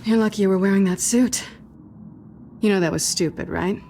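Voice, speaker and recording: A woman playing a sly, guarded young cat burglar with dry wit in a video game, teasing and sardonic in an intimate, low-key way. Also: moderate pace, gravelly, warm tone, slight background noise, lightly acted. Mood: teasing concern. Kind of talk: naturalistic video game acting.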